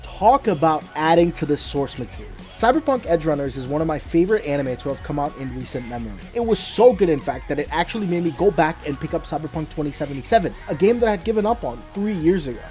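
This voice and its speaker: Male video essay host, slightly high register, casual but emphatic scripted opinion read, light music bed. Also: big pitch swings, fast, few pauses, darkish tone.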